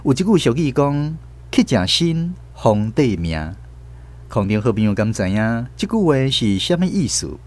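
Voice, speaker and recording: A male radio presenter with a Taiwanese accent talks directly to his audience. His delivery is scripted and expressive, with lively rises and falls around a medium pitch. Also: normal speed. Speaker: radio host.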